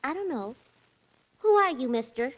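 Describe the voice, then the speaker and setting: An actress playing a ditzy, excitable young secretary in a 1940s radio drama, breathless and girlish with folksy slang. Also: high voice, normal speed. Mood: relieved, teasing. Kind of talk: 1940s radio comic acting. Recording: 1940s radio recording, faint music.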